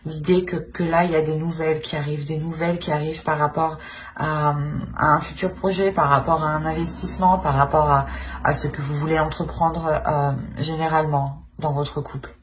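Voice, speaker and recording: Woman, low voice, tarot reader, hesitant and searching, repeats phrases, faint background noise. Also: little pitch movement, brisk. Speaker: tarot reader, channel host. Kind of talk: unscripted tarot card reading addressed to viewers.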